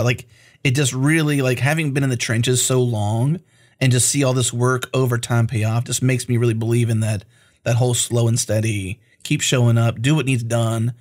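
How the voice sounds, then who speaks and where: A man's voice: mid-range voice, darkish tone. A man co-hosting a podcast interview, talking in an earnest, enthusiastic way. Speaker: podcast co-host. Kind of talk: casual interview chat.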